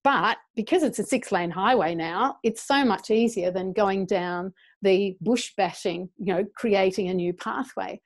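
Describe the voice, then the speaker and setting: A woman's voice: medium pitch, unhurried, hardly any pauses. A middle-aged woman, speaking passionately as a guest over a video call from a small room. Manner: passionate, animated, gestures a lot with her hands. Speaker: guest educator. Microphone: earphone mic on a video call.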